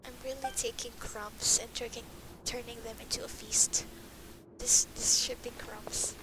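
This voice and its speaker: High-voiced, rambling woman game streamer. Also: level tone, unhurried, background noise. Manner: rambling, reactive, repeats words, giggly. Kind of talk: casual gameplay commentary. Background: loud game music.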